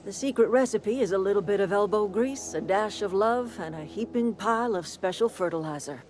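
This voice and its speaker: A woman's voice: mid-range voice, a little fast, darkish tone, natural voice. An actress playing a kindly, plain-spoken older woman who leads a garden commune in a video game, with a folksy, motherly manner. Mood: gentle, folksy. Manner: folksy, motherly, calls people dear. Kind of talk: video game character acting.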